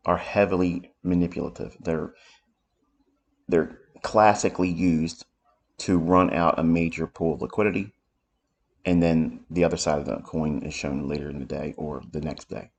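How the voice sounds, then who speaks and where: A man with a raspy voice from allergies, giving unhurried live commentary as he explains market charts. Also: low-pitched, fairly even intonation. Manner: unhurried, thinking aloud while explaining charts. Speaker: trading educator. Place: home office.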